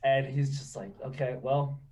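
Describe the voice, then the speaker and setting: A man in his twenties telling stories in a relaxed, chatty way, laughing often and saying "you know" a lot. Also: mid-range voice, moderate pace, far from the mic. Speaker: guest. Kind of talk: casual interview storytelling.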